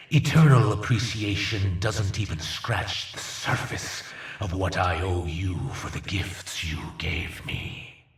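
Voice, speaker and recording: An actor playing a grandiose alien villain in a video game, a zealous disciple of a dark master, delivering theatrical monologues in a pompous, menacing, gravelly voice. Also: male voice, sits low, reverberant, far from the mic, heavily acted. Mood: solemn gratitude. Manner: slow, pompous, menacing, reverent toward master.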